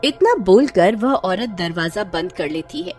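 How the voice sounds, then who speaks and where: Lively woman narrator over soft music. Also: mid-range voice, a little slow. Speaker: story narrator. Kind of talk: dramatic scripted children's horror story narration.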